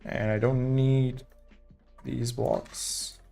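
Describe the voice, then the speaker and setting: Young male streamer talking through his build, mid-pitched, faint music bed. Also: little pitch movement, extremely slow, vocal fry, mellow. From Portugal. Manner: thinking aloud while building, casual and chatty. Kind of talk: live gameplay commentary.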